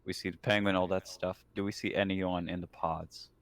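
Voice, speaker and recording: A man with a low voice plays in a tabletop roleplaying game. He chats casually with the group, mixing questions about the scene with jokes. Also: flat delivery, normal speed. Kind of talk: casual, joking table chat.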